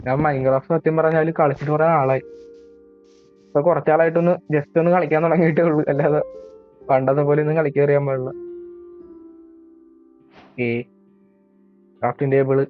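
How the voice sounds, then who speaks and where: A young man with a Malayali accent giving casual, self-mocking commentary while he plays a horror game. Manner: chatty and self-mocking, admits he scares easily. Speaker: gaming YouTuber. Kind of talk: casual let's-play commentary. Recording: tinny, low-quality mic.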